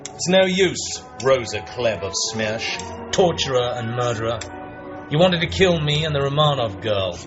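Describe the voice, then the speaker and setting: An actor playing a suave, sardonic British secret agent with a wry, urbane manner in a radio drama. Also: male voice, mid-range voice, big pitch swings, measured pace. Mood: sardonic triumph. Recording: dull broadcast sound with music behind.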